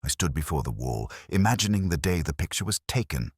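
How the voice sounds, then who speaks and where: A man playing a world-weary police inspector, recounting a case in measured, literary, reflective detective narration. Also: extremely low pitch, very animated, breathy edge, no room sound, clean studio sound, heavily acted. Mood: somber, watchful. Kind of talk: scripted first-person detective story narration.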